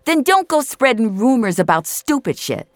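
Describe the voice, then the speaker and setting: A woman's voice: a little high, sing-song, normal speed, dry recording, faint music behind, voice pushed up. A woman voicing a cocky, scornful male gamer in an audio drama, mocking and incredulous, with plenty of swearing.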